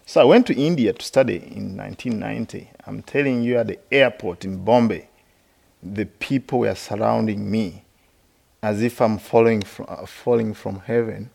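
A middle-aged man from Uganda, a Buddhist monk, giving a halting, explanatory dharma talk with many fillers at a meditation retreat. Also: fairly low pitch, vocal fry, darkish tone. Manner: laughs while telling stories.

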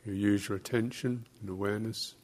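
A man giving guided meditation instruction as a teacher, speaking in short, measured phrases with long, halting pauses. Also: fairly low pitch, steady tone, a little mellow, a little hiss or hum.

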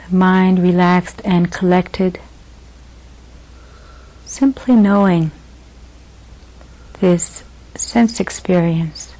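A woman teacher leading a guided meditation gently, in short phrases with long pauses between them. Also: on the low side, fairly even intonation, low-quality recording.

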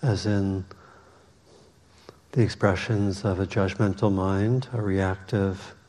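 A man's voice, echoing in a reverberant hall, guides a meditation at a very unhurried pace. His tone is mellow and soft-edged, and he leaves long pauses between measured instructions. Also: sits low, fairly even intonation. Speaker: meditation teacher.

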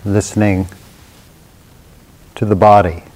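A man with a slightly low voice guides a meditation. He speaks in short, unhurried phrases and leaves long silences between them. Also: flat delivery, darkish tone, low-quality recording. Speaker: meditation teacher.

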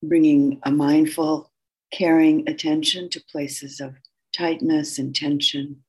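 Slow, husky woman guiding meditation. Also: sits low, little pitch movement, rough recording. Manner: gentle, unhurried, with long pauses. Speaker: meditation teacher. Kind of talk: guided meditation instruction.

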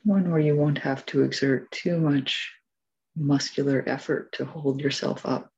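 A woman leading a guided meditation as its teacher, giving gentle, measured instructions with long pauses. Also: a bass voice, steady tone, slightly rough, dull audio.

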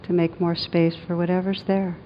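A woman meditation teacher leading a guided meditation in a gentle, soothing, unhurried manner with long pauses. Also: little pitch movement. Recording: dull lo-fi sound, rumbling background noise.

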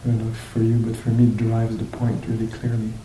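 A man teaching meditation to a group, speaking reflectively and off the cuff, with hesitant pauses between short phrases. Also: completely flat delivery, dark tone. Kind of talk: guided meditation talk, unscripted and reflective. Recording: roomy echo, faint noise with low rumble.

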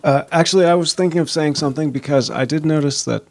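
A man's voice: fairly high pitch, fast talker, creaky, a little mellow. A man in a meditation group carefully describing a worry, earnest and slightly anxious. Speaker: retreat participant. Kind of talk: asking in group Q&A.

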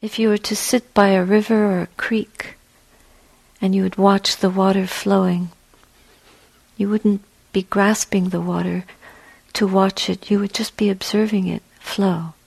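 A woman teaching a guided meditation in a gentle, measured way, with a soft, breathy voice and long pauses between short phrases. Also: medium pitch, flat delivery, dry recording, rough recording.

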